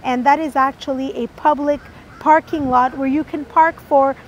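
Travel vlogger, woman in her 30s, high voice, upbeat and chatty, phone at arm's length, noisy street sound. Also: fairly even intonation, normal speed. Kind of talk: casual travel vlog to camera. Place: outdoors on city streets and a seaside park walkway. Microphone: phone held at arm's length.

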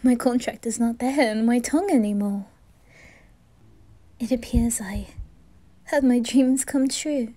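A woman voicing a wounded, lovestruck teenage boy in an ASMR roleplay, soft and breathy with a hesitant, tender manner. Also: fairly high pitch, measured pace, long pauses. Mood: tender, relieved. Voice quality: soft, breathy, often whispering.

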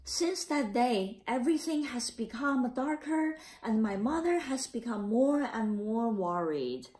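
A woman reading a children's picture book aloud in a careful, slightly halting way. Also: fairly high pitch, expressive, darkish tone, a little hiss or hum. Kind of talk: read-aloud storytelling.

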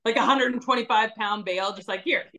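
A woman's voice: on the high side, quick pace, sharp tone, rough recording. A young woman from British Columbia, a dairy farmer guest talking easily and laughing often on a video call from home. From British Columbia, Canada. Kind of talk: casual video-call interview. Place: home room.

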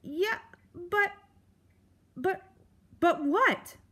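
A woman putting on a tiny, terrified girl mouse with a very high, thin voice that stammers and pleads. Her words come slow and shaky, as if she can barely get them out. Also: big pitch swings, heavily acted. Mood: frightened. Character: frightened small female mouse. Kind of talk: storybook read-aloud.

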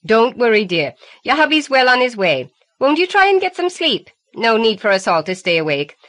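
A woman's voice: fairly high pitch, sing-song, normal speed, fairly bright tone, voice pushed up. A woman voicing a kindly, reassuring older female neighbour in an audiobook. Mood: soothing. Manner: reassuring, endearing. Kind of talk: audiobook character voice.

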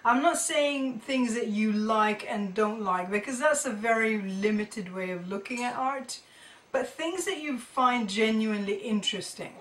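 A woman's voice: on the high side, a little slow, fairly bright tone. A middle-aged woman teaching informally to camera, thinking aloud and often rephrasing as she explains. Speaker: art teacher presenting tips to students. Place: indoor room with carved wooden panelling. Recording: roomy echo, distant microphone.

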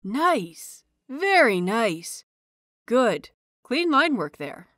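A woman voicing a cheerful young man who teaches small children, praising and instructing them brightly in an audio story. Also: on the high side, big pitch swings, drawn-out words, no echo, clean studio sound, exaggerated voice. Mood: approving.

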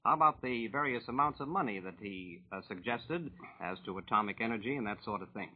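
A man's voice: fairly low pitch, lively intonation, fairly quick, breathy edge. An American man working as a reporter, introducing and questioning a guest in 1940s broadcast style. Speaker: radio reporter and interviewer. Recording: tinny narrowband 1940s radio line.

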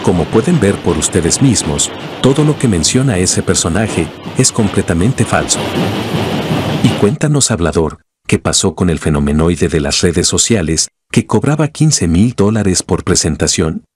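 A man's voice: low voice, very animated, moderate pace. A man narrating a mocking commentary voice-over, scornful and sarcastic, with music behind. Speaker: channel narrator.

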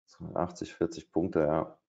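A man's voice: deep voice, level tone, a little slow, gravelly, warm tone. A middle-aged man doing live trading commentary at his desk, thinking aloud with hesitations and fillers. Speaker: day trader. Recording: dull, lo-fi stream audio.

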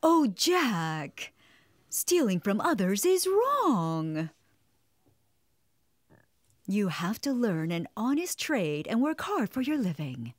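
A woman's voice: mid-range voice, big pitch swings, takes it slowly, pauses often, no room sound, studio quality. A woman playing an honest, chiding mother in a children's cartoon, speaking earnestly. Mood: reproachful. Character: poor, honest, admonishing mother in a fairy tale.

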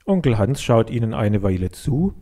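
A man reading a children's story as narrator, in an expressive scripted audiobook style. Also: fairly low pitch, normal speed.

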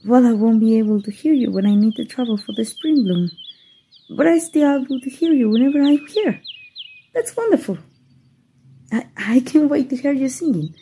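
A woman's voice: on the high side, lively intonation, moderate pace, mellow, slight background noise. A woman voice actor voicing a playful, flustered young fairy in an ASMR roleplay, giggling and stammering shyly.

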